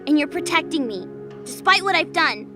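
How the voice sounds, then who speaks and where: A woman playing a timid, anxious young goddess in an audio drama, gentle and protective, speaking in a hesitant, pleading way. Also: high-pitched, lively intonation, normal speed. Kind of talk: dramatized audio fiction acting. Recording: music behind the voice. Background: music.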